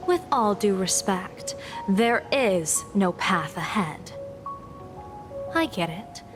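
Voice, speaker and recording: A woman playing a composed female general and diviner in a video game, polite and measured with dry sarcasm. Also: mid-range voice, animated, music in the background. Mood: sarcastic.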